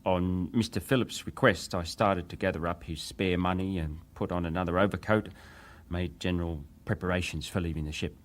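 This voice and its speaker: A deep-voiced young ship's wireless operator , played by a male actor, testifies at a dramatized hearing. He answers with measured deference, sometimes hesitating, as a survivor choosing his words. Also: fairly even intonation, lightly acted. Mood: subdued, formal.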